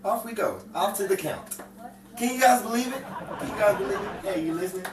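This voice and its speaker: A man playing a flustered, excitable 1950s driver who stammers defensively in a comic radio drama. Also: high-pitched, fast, some chatter behind, lightly acted. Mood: excited. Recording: roomy, distant, noisy amateur radio play.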